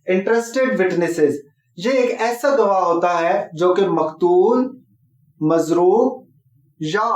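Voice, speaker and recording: A man's voice: high-pitched, darkish tone. A man with a Pakistani accent giving a formal, explanatory legal lecture, instructive and mixing in English terms. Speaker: law lecturer and presenter. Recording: echoey room sound.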